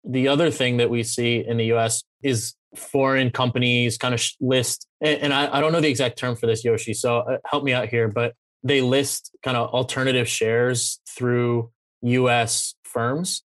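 A man's voice: medium pitch, flat delivery, normal speed, a bit bright, dry recording. A man hosting a conversational business interview, rephrasing his questions as he goes. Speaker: show host, interviewer.

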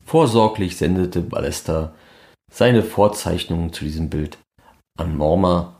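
A man's voice: low voice, unhurried, darkish tone. A man presenting a podcast in scripted, documentary-style narration.

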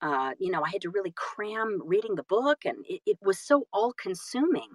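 A woman's voice: medium pitch, animated, normal speed, slight background noise. A woman telling stories casually as an interview guest, self-deprecating and emphatic in manner. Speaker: guest, audiobook narrator and actress.

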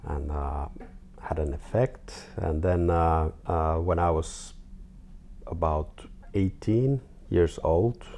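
A 42-year-old man from Finland with a Finnish accent, talking casually and haltingly as an interview guest. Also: sits low, mellow. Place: artist's studio.

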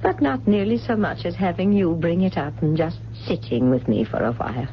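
Doting, genteel American mother, lively pitch. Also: fairly quick. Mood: tender. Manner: affectionate, gently coaxing. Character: doting, genteel wealthy mother of a grown son. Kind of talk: 1970s radio drama acting. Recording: dull, noisy old radio broadcast with hum.

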